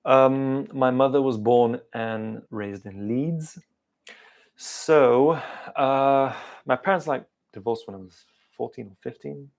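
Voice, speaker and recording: A man's voice: medium pitch, slow pace, creaky. A man in his thirties with an English accent tinged with South African, chatting hesitantly with many fillers while answering viewer questions live. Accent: English with some South African. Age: 30s. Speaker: car channel host. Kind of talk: live stream Q&A chat.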